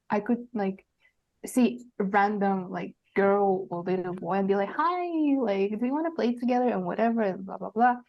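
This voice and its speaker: Young woman guest from Kazakhstan, warm mellow tone, rambling casual storytelling. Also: mid-range voice, vocal fry, muffled recording. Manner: meandering, full of fillers and restarts. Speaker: podcast guest.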